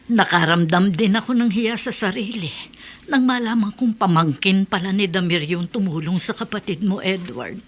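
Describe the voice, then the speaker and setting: An actress playing a mother speaking gently and with concern to her son. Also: mid-range voice, moderate pace, slight background noise, close to an everyday voice. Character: older mother, gentle and worried.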